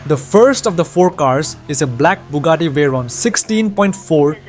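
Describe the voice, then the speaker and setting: Higher-pitched man narrating over music. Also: animated, normal speed, a little mellow. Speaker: narrator of a game story recap. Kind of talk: scripted narration.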